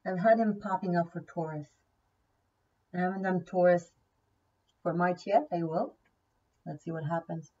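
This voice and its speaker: A woman's voice: lowish voice, fairly even intonation, normal speed, long pauses, mellow, recorded from a distance, highs cut off. Chatty tarot reader, woman, native Hebrew speaker. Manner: chatty, thinking aloud, repeats key words. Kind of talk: casual tarot reading to camera.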